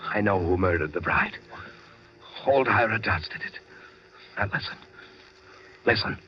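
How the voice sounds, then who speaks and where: An actor playing an anguished condemned prisoner and amateur sleuth in a theatrical 1940s radio drama style. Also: male voice, fairly low pitch, animated, unhurried. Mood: urgent. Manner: brooding, theatrical, intense. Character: anguished condemned man, a feverish amateur sleuth in his cell. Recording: dull vintage 1940s radio, music behind.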